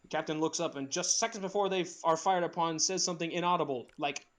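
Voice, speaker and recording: A man's voice: a little high, quick pace, background noise. A man narrating screenplay directions in a casual group read, dropping in amused asides.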